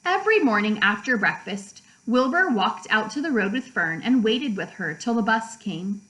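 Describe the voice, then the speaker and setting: Higher-pitched woman reading a storybook aloud. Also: lively intonation, normal speed, fairly bright tone, poor audio. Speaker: teacher reading a children's book aloud. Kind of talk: storybook read-aloud narration.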